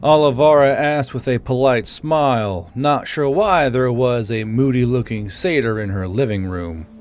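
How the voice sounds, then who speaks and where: A man's voice: mid-range voice, a little slow, a little mellow. A man reading scripted fiction as an audiobook narrator.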